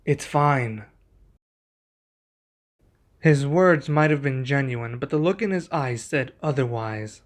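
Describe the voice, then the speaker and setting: A man playing a composed, earnest young king in an ASMR roleplay, speaking in a measured, formal way. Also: medium pitch, lightly acted. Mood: calm, resolute.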